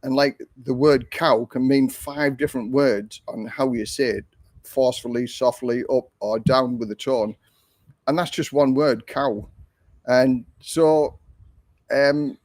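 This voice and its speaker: An older man, hosting a casual live stream and answering viewer questions in a rambling, chatty way, with a voice he calls monotone. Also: mid-range voice, no echo. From England. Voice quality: self-described monotone voice. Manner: rambling, chatty, with frequent ums and restarts. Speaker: livestream host.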